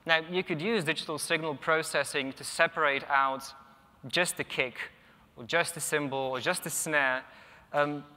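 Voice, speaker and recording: A man's voice: on the high side, fairly even intonation, normal speed, pushed and bright. Young man lecturing in a reverberant hall. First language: Polish. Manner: thoughtful, many ums and restarts. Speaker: conference speaker. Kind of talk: technical conference talk. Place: large conference hall, at a lectern. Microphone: lectern microphone.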